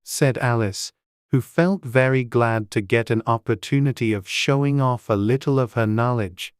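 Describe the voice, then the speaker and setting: A man's voice: mid-range voice, moderate pace, clear, resonant tone, no echo, studio quality. A man reading scripted storybook narration as an audiobook narrator.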